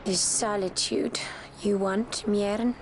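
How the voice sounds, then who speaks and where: A woman playing a solemn exiled warrior of a woodland race in a fantasy game, stern and measured with archaic phrasing. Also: mid-range voice, steady tone, background noise. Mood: stern, sorrowful. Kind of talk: dramatic fantasy game acting. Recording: loud orchestral music behind. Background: game soundtrack music.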